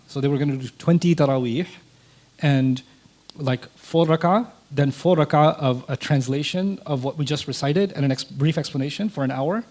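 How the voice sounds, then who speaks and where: Brief: male interview guest, Urdu first language, mid-pitched, animated storyteller who voices the people he quotes. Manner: animated, acts out quoted dialogue.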